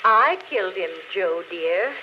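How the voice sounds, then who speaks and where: An actress playing a dead woman who confesses a murder on a phonograph record, speaking slowly in 1940s radio style. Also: medium pitch, natural voice. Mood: coolly confessing. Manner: calm, deliberate confession, later frightened. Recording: dull old radio broadcast with faint hiss.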